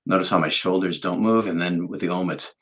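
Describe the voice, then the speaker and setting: A man with a faint Boston accent, a meditation teacher giving a calm, unhurried, soft-spoken guided meditation talk. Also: low voice, husky.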